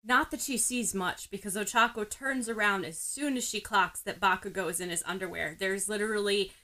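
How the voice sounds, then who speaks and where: A woman's voice: medium pitch, bright, forceful tone. A woman narrating fan fiction expressively, as in an audiobook. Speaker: podfic reader.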